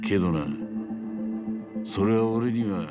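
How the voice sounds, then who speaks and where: A man playing a grave, world-weary middle-aged yakuza in a video game drama, speaking in measured, rough gangster phrasing. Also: on the low side. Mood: somber, deliberate. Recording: music behind the voice.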